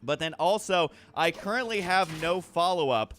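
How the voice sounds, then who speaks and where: A man's voice: on the high side, animated, normal speed, a bit bright, slight background noise. A man hosting a gaming video with casual, off-the-cuff commentary, rambling a little as he thinks aloud.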